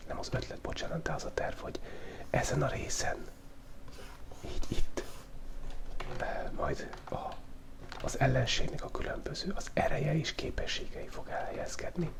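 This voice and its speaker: A man's voice: a little high, huge pitch swings, gravelly. A man from Hungary with a cold, whispering playful ASMR commentary as he sketches, through a small mic taped right to his face. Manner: playful, joking asides while sketching. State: has a cold. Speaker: YouTube drawing channel host. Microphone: small mic taped to his face, very close.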